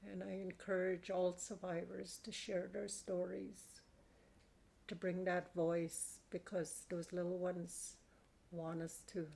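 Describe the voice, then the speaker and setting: Woman survivor giving testimony: low-key, gravelly delivery, even and unhurried. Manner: slow, earnest, halting with pauses. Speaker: residential school survivor. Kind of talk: personal testimony.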